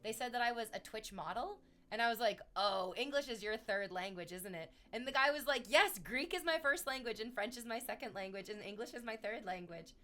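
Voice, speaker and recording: A woman's voice: on the high side, lively intonation, a little fast, few pauses, fairly bright tone. A woman chatting casually on a livestream, rambling to her viewers and cooing at her dog in baby talk.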